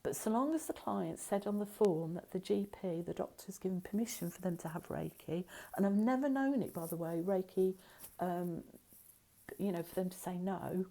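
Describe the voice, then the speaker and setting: A woman's voice: fairly low pitch, moderate pace, creaky, dark tone. A middle-aged woman giving a recorded Reiki lesson in a chatty, rambling way, full of asides and fillers. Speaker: Reiki teacher.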